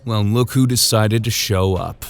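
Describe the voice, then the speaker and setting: An actor playing a massive, teasing male orc with a soft tone in an audiobook drama. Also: fairly low pitch, steady tone, measured pace, faint music behind. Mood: amused teasing.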